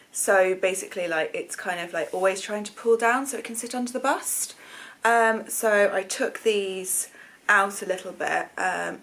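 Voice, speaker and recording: A British woman with a slightly croaky voice, hosting a chatty sewing vlog in an informal, rambling way, often correcting herself. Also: mid-range voice, a bit bright. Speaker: sewing vlogger, channel host. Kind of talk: casual chatty vlog to camera.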